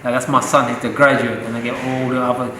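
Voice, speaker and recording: A man's voice: medium pitch, little pitch movement, fast. A young man, a professional boxer born in Saudi Arabia, speaks earnestly and proudly in a pre-fight interview, with the odd joke. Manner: earnest and proud, joking now and then. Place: boxing gym. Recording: echoey gym with loud ambient noise.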